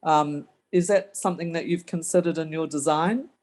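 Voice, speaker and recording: A woman's voice: low voice, normal speed, darkish tone. A woman from New Zealand with a slight New Zealand accent, a resident on a video call pressing officials with pointed questions at a community meeting. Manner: direct, pressing follow-up questions.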